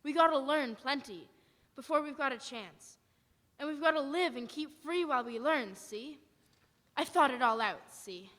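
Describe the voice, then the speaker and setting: A woman's voice: sits high, animated, moderate pace, fairly bright tone. A woman playing a defiant, wary militia survivor with blunt, folksy, emphatic speech in a live radio drama. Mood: fiercely defiant. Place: church hall stage, live performance. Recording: echoey hall, live performance.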